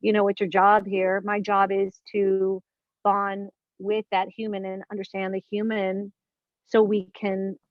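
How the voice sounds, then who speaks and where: A woman's voice: medium pitch, monotone, measured pace, no echo. A woman of fifty in a casual spiritual conversation as a guest, earnest and searching, circling back over her words as she thinks aloud. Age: 50s. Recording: dull lo-fi dry recording.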